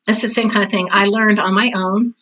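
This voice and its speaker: An older woman with a mid-pitched voice chats as a guest in an interview. She is frank and plain-spoken, happy to poke fun at herself, and talks in a casual, conversational way. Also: fairly even intonation, brisk, fairly bright tone, poor audio. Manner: blunt, candid, self-deprecating. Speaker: podcast guest, author and drama teacher.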